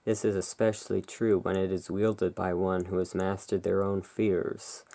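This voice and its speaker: An ASMR host speaking softly and musingly, describing and reading out cards in a hushed, unhurried roleplay manner. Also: deep voice, little pitch movement, creaky. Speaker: host, playing curiosity emporium owner.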